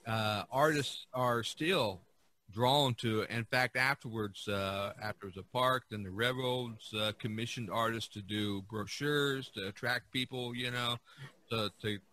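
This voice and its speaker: A man with a bright, forward voice talks casually as a podcast host. His storytelling is enthusiastic and animated, at an average pitch for a man. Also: unhurried. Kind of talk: casual art history discussion.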